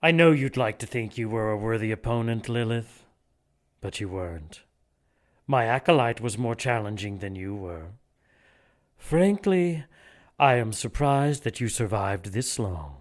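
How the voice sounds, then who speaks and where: An actor playing a menacing, pompous leader of a religious order in an audio drama, speaking formally and gloatingly. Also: male voice, lowish voice, animated, a little slow. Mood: smug.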